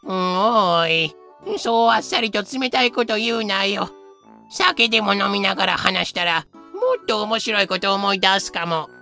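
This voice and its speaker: An actor in a fan audio drama playing a grasping, chatty teller of pirate tales with rough, casual speech. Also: mid-range voice, lively intonation, moderate pace, bright, forceful tone, faint music behind. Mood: chatty, sly. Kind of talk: Japanese fan-made audio drama acting.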